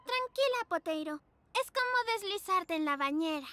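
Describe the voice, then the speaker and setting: An actor voicing a sweet, excitable little girl pug puppy in a children's cartoon dub, with an eager, chirpy manner. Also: very high-pitched, normal speed. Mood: reassuring. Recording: music behind the voice.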